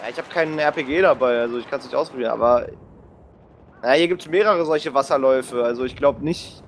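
A man's voice: mid-range voice, brisk. A man chatting casually and in slang while playing a video game with a friend. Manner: colloquial, slangy. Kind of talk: casual gaming chat with a co-player. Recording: faint game noise behind voice. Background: video game sounds.

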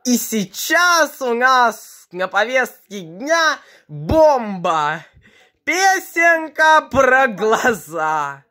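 Unhurried young woman chatting at home. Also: on the high side, big pitch swings, pushed and bright. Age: young adult. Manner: unhurried, chatty, introducing each song. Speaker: video blogger. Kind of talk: casual chat. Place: room at home, beside a television.

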